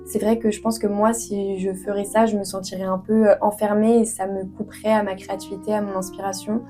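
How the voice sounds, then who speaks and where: A woman's voice: medium pitch, level tone, brisk, mellow, soft background music. A young woman in her twenties from France, answering interview questions earnestly with many hesitations and fillers. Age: 20s. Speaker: author guest.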